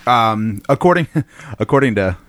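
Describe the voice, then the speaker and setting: A man chatting casually as a podcast guest, laid-back and rambling with many pauses and ums. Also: lowish voice, animated, a bit bright, no echo. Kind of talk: casual chat.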